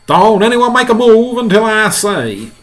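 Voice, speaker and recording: A panicky fire chief in a storybook, performed by a man. He is bossy and overwrought, yelling his lines high and with big swings of pitch. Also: big pitch swings, moderate pace, full character voice. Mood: anxious, grim.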